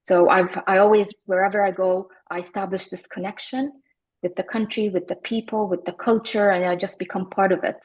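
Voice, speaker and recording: A woman in her forties, from Iraq, talking reflectively as an interview guest, often pausing with fillers and restarting her sentences. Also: mid-range voice, little pitch movement, darkish tone, husky, poor audio. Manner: thoughtful, many fillers and restarts.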